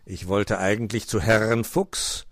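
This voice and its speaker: A man's voice: lowish voice, fairly even intonation, dry recording, clean studio sound. A man voicing a stern, officious raven who talks pompously and caws, in a children's story. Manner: slow, drawn-out, pompous, caws "Kra". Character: stern, officious male raven inspector, cawing and grave.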